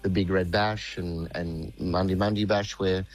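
A middle-aged man, a band's lead singer, talking in a relaxed, rambling way with lots of fillers. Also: deep voice, a little slow. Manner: relaxed, rambling, many fillers, says "mate". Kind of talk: phone or studio interview.

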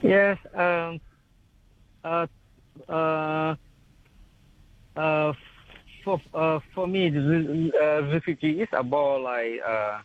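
A young man from Thailand, a community interpreter, speaking halting non-native English with many fillers and restarts. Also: on the high side. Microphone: telephone. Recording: tinny phone line.

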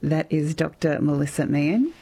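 Husky, creaky Australian male radio host. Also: on the high side, lively intonation, normal speed, mellow. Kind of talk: casual radio interview and links.

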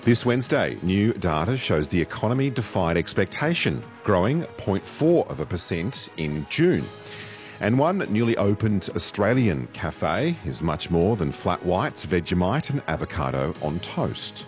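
Deep voiced radio news host, friendly intros, faint music behind. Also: male voice, very animated, a little slow. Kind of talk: scripted news program presenting.

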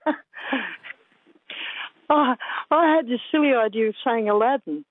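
A woman's voice: fairly high pitch, unhurried, no echo. A woman chatting casually and self-deprecatingly as a caller to a radio quiz, on a narrow phone line.

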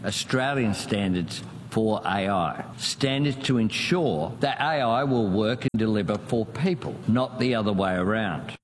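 A man's voice: fairly low pitch, a little slow, long reverb tail. A man giving a formal speech clip.

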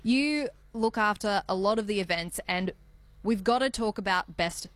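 A woman's voice: mid-range voice, moderate pace. Friendly Australian radio host woman. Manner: bright, friendly, steers the talk with questions. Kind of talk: radio interview.

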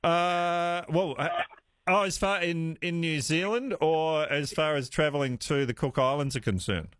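A man hosting a talkback radio quiz, chatty and quick to laugh. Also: fairly high pitch. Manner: animated, chatty, laughing easily. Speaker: radio host.

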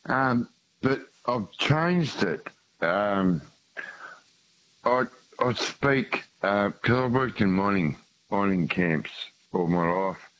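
A man with a thick Tasmanian Australian accent calls in over a muffled, lo-fi line. He speaks slowly and a little low, stopping often with ums and starting over. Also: lively intonation, fairly bright tone. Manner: halting, with many ums and restarts. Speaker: caller. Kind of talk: phone-in chat.

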